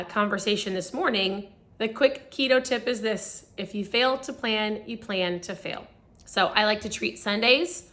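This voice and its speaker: A woman in her forties hosting a casual live stream, a little hoarse and raspy, chatting upbeat and straight to her viewers. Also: a little high, bright, forceful tone. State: hoarse from screaming. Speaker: host of a live video.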